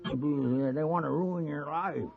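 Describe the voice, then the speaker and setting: An actor playing a wheedling, boozy frontier husband with a rambling, stammering American drawl, in 1950s radio Western style. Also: male voice, on the high side, a little slow, gravelly. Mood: drunken, aggrieved. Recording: muffled 1950s radio recording.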